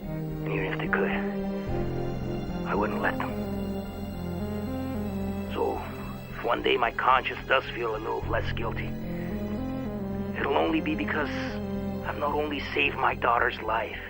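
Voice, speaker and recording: Game monologue: grim soldier and loving father, mid-pitched, creaky, hushed and deliberate, heavy score. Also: rough recording. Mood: grim resolve. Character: grim, doomed soldier and devoted father confessing. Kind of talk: video game voice message monologue. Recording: loud music under the voice.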